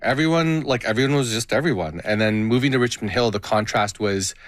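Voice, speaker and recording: A man in his thirties from Canada, a guest in an interview, speaking earnestly and openly about his past with frequent halting restarts. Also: mid-range voice, no room sound, studio quality. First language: Gujarati. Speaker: interview guest, playwright and tabla player. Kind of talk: reflective personal interview.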